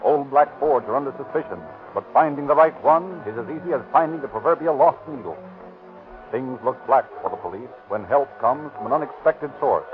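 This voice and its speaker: A male narrator declaims in an ominous, dramatic style, his mid-range voice coming through a narrow, tinny old radio recording. Clear background music plays under his words. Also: medium pitch, expressive, brisk.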